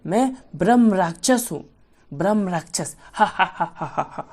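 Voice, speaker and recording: A woman's voice: medium pitch, expressive, unhurried, darkish tone, pitched below the performer's own voice. A woman voicing a menacing, boastful ancient male demon who possesses a priest in a children's story. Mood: proud, relenting. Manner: boastful, threatening declarations.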